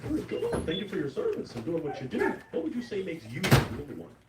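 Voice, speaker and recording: Man, dating show host, high voice, swooping pitch, playful, roomy distant sound. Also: a little fast. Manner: animated, playful, big pitch swings. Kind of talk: lively show hosting. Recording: roomy, distant mic with noise.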